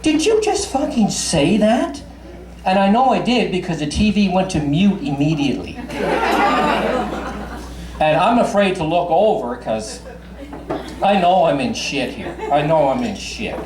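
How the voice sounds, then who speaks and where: A man's voice: a little high, animated, normal speed, long pauses. A man in his fifties from Newfoundland with a faint Newfoundland accent, doing crude, chatty stand-up in a bar and swearing freely. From Newfoundland, Canada. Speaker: stand-up comedian. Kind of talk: stand-up comedy. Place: bar stage. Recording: echoey bar with crowd.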